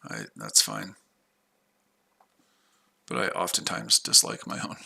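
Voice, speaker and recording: A man's voice: rumbling low, expressive, takes it slowly, creaky, pushed and bright. An American man casually chatting on a livestream while trading, rambling and talking to his viewers. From United States. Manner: rambling, repeats himself, chats with viewers. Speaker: livestream trader.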